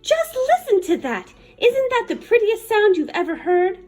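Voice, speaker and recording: A woman's voice: very high-pitched, very animated, unhurried, darkish tone, voice pushed up, exaggerated voice. A woman voicing a kind, bold giant village woman from a storybook. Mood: delighted. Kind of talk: storybook character reading.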